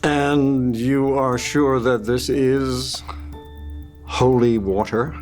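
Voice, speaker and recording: Man acting as suave male vampire, unhurried, teasing, courteous, medium pitch, table-read drama. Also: steady tone, a little mellow, soft background music. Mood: sly, mocking. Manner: smooth, courteous, hesitant pauses.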